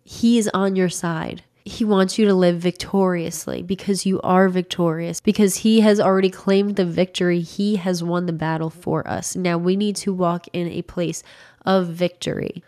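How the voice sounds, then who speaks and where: A young woman in her twenties speaks earnestly and encouragingly, like a devotional talk to her listeners. Her voice has a slight rasp left over from being sick. She sits close to a studio microphone in a small home studio. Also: on the low side, steady tone, moderate pace, a little mellow. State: slightly raspy from recovering from illness. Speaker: faith content creator. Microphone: large studio microphone close to mouth.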